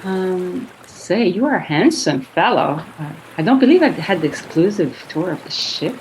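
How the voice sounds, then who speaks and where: A woman's voice: on the low side, expressive, darkish tone, slight background noise, close to an everyday voice, poor audio. An actress playing a tipsy, flirtatious woman passenger in a radio play, stammering and coy. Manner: halting, stammering.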